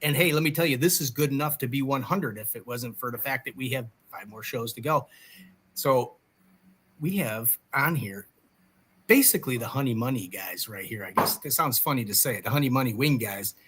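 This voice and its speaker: A man's voice: mid-range voice, a little fast, a bit bright, slight background noise. A man co-hosting a casual livestream chat show, joking and self-deprecating in banter with his co-host.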